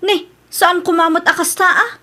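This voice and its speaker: A woman's voice: extremely high pitch, steady tone, moderate pace, bright, forceful tone. A woman with an Ilocano accent playing an evasive younger woman short of money, wheedling in a comedy radio drama. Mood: defensive.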